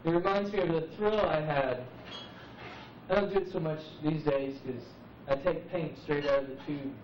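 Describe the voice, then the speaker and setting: Muffled noisy live recording, echoey room, man in his forties, reflective spoken word, unhurried dramatic pauses. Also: on the high side, distant mic. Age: 40s. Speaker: poet speaking at an open mic. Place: live open mic venue, roomy.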